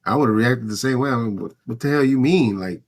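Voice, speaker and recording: A man with a medium-pitched voice hosts a casual sports talk show. He speaks conversationally, recounting events loosely and speculating as he goes. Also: fairly quick. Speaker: podcast host.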